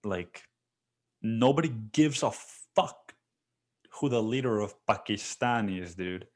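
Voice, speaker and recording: Young male solo podcaster, low-ish voice, casual profane rambling delivery. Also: expressive, takes it slowly. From Mexico. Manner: rambling, profane, filler-heavy with repeated "dude". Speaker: podcast host.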